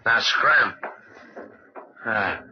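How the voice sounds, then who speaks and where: An actor playing a menacing, contemptuous razor gangster in an old-time radio drama. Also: male voice, fairly low pitch, extremely slow. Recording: dull old radio recording.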